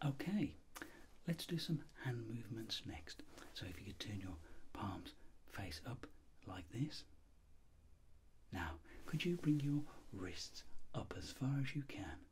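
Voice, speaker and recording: Middle aged guy doing asmr doctor roleplay, breathy whisper, really slow and careful, faint noise behind. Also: on the low side, very animated. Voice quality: breathy whisper, soft-spoken. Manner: slow, deliberate, reassuring. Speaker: ASMR performer acting as a doctor.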